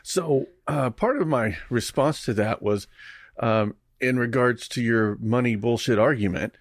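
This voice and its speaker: A man's voice: medium pitch, unhurried, dry recording, clean studio sound. A man co-hosting a casual podcast, ranting bluntly and reading out poll figures. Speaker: podcast co-host. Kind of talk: casual chat.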